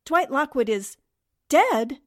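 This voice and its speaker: A woman's voice: high voice, big pitch swings, slow pace, heavily acted. A woman playing a polite, good-natured newspaperwoman with a genteel manner, in 1940s radio drama style. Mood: concerned.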